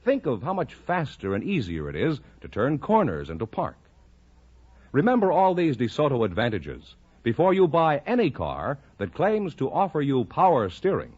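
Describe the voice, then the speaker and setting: A man's voice: mid-range voice, darkish tone, slightly rough. An American man reading a scripted 1950s radio car commercial with emphatic salesmanship. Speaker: commercial announcer. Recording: dull vintage radio recording.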